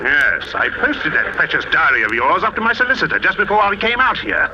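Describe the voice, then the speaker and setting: A man's voice: a little high, very animated, brisk, music in the background. An actor playing a belligerent, blustering man in an old radio drama, sputtering and sneering with spite. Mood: menacing, angry. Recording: noisy, muffled old radio broadcast recording.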